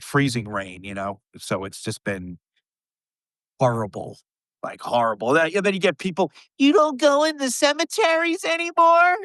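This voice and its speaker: A man co-hosting a live paranormal podcast, rambling in a casual, animated way and venting about things that annoy him. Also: medium pitch, smooth, dry recording. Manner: animated, rambling, exasperated asides. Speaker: podcast co-host. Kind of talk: casual live-stream chat.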